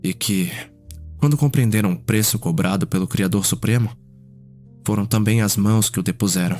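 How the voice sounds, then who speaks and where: A man performs a reflective male vampire in an ASMR story, speaking with a Brazilian accent. His voice is low and his telling measured and courteous, over faint music. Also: a little mellow, lightly acted. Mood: solemn storytelling.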